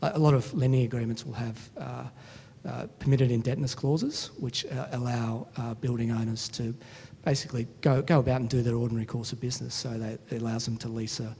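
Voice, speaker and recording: A man's voice: brisk, creaky, dark tone. A middle-aged man answering as a finance panelist in a discussion, explaining into a microphone. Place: large hall panel event with audience. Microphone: microphone held close. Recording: echoey hall, faint rumbling noise.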